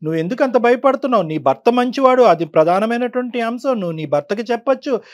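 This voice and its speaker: Fast-talking, emphatic woman counsellor. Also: fairly high pitch, lively intonation. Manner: emphatic, exhorting. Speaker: counsellor on a talk show. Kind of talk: forceful counselling advice.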